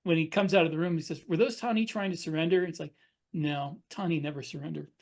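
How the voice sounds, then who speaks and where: Man, slightly high pitch, casual podcast chat, informal storytelling. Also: quick pace, gravelly. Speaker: podcast co-host and military sci-fi author.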